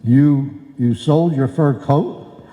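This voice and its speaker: Man acting a muffled, slow-talking blustering radio husband. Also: medium pitch, warm tone, lightly acted. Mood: astonished, touched. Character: blustering, sentimental husband in a bickering radio comedy couple. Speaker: amateur old-time radio player. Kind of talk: live old-time radio comedy acting. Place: indoor hall stage, live performance. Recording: echoey hall, live stage performance.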